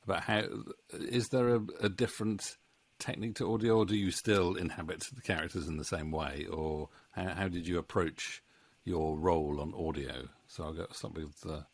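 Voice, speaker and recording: A man with a deep voice moderates a casual chat from a home office, close to the microphone. He speaks haltingly, often pausing and circling back mid-sentence as he hosts. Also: steady tone, dry recording. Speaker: interview host, audio drama maker. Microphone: studio mic on boom arm, close.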